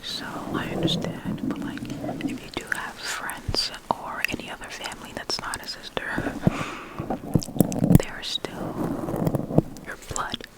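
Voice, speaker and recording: Slow whispery asmr roleplay voice, breathy, calm n reassuring, right up on the mic. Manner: calm, soothing, reassuring, with soft repeated affirmations. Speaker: ASMR performer playing a calm comforter. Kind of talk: ASMR personal attention roleplay. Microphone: close ASMR microphone.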